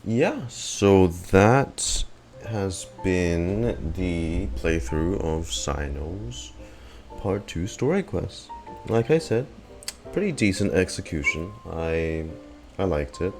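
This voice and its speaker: Male game streamer, low-pitched, casual and rambling, with game music underneath. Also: lively intonation, slow, a little mellow. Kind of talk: casual livestream gameplay commentary.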